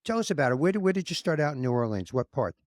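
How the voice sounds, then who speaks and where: A man from New York who hosts a podcast and asks loose, rambling questions. His voice sits a little high for a man. It sounds dry and close to the mic. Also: sing-song, very fast, a little mellow, no echo. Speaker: podcast host. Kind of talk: casual interview.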